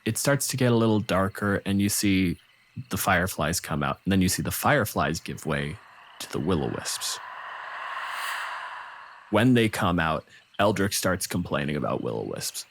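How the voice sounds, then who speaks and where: A man's voice: deep voice, expressive, normal speed. A man improvising narration as the game master of a tabletop fantasy game.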